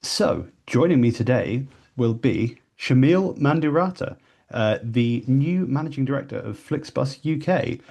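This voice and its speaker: A man's voice: medium pitch, expressive, measured pace, vocal fry, darkish tone. A man hosting a podcast, chatting casually with his guest from a small room, with lots of fillers and restarts. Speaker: podcast host.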